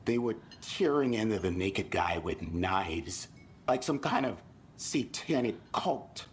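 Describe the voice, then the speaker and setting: A man's voice: fairly low pitch, expressive, slow, slight background noise. An actor playing a blunt, rattled male tourist who witnessed an attack.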